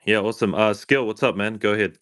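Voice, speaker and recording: A man's voice: lowish voice, steady tone, quick pace, a bit bright. A man hosting a live audio chat who calls on speakers in brief, upbeat lines. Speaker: host moderating callers.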